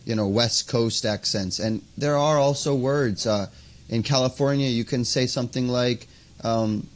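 American man talking haltingly on a video call. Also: mid-range voice. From United States. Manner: casual, halting, lots of "you know" and "um". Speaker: podcast guest. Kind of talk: interview conversation. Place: indoors by a window, video call. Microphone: earphone mic on a video call.

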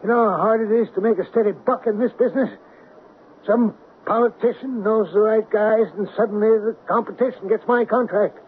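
High-pitched male executive with a guilty secret, flustered and defensive man acting, 1970s radio drama, muffled hissy recording. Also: fairly even intonation, brisk, lightly acted. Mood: bitter confessing. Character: male boss of weapons contractor, nervous, evasive traitor.

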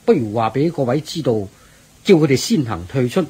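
An actor playing a courteous, attentive temple organiser in a radio drama, speaking politely and deliberately. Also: male voice, mid-range voice, expressive, mellow, slightly rough. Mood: considerate. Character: courteous, attentive man helping host a temple gathering. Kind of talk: Cantonese radio drama acting.

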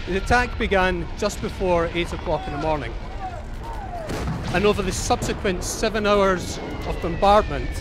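A middle-aged Scottish man giving a measured, earnest talk on a large auditorium stage through a headset mic. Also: high voice. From Scotland. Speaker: conference speaker.

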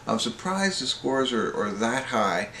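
A middle-aged American man giving a casual, unscripted movie review in a halting, rambling way, often correcting himself. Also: mid-range voice, very animated, sharp tone. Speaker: amateur movie reviewer and host. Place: small indoor wood-panelled room at home. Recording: roomy echo with faint background noise.

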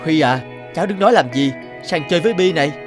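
A man's voice: a little high, animated, fast, music in the background, close to an everyday voice. A man voicing a friendly middle-aged father and neighbour in a cartoon. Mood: cheerful, kindly. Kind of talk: animated cartoon dialogue.

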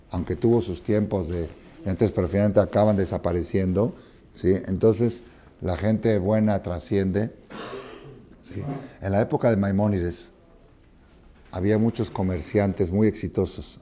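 A man in his sixties gives a Torah lesson from a lectern in a study hall, with a low, mellow voice. He talks expressively, acts out parables in dialogue and keeps checking that his listeners follow. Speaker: rabbi teaching a class. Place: study hall with wooden chairs, indoors.

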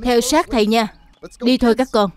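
A man voicing a patient, caring adult bear teacher in a children's cartoon dub, gentle and instructive. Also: very high-pitched, steady tone, moderate pace. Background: background music.

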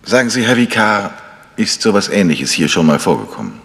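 A courteous, probing amateur detective in a radio mystery. His very deep voice moves with lively intonation over a faintly noisy recording. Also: fairly quick. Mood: polite, probing. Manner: polite, inquisitive. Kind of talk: German radio mystery acting.

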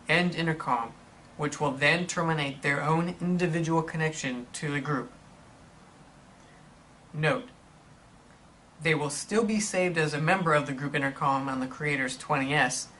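A man reading scripted instructional narration in a measured, explanatory manner for a product tutorial. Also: fairly high pitch, pushed and bright, slight background noise.

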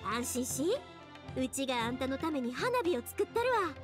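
A woman playing a cheerful, warm-hearted young fireworks maker in an anime-style game, speaking expressive, friendly Kansai dialect. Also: sits high, normal speed, over music. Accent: Kansai Japanese. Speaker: voice actor in a video game.

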